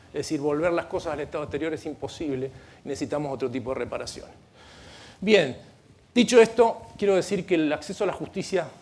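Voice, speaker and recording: A man's voice: a little high, darkish tone, slight background noise. A middle-aged man with an Argentine accent, speaking on a conference panel in an earnest, explanatory way, often restarting his sentences. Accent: Argentine Spanish. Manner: earnest, explanatory, with frequent restarts and light asides. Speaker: panel speaker at a legal training event. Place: conference room.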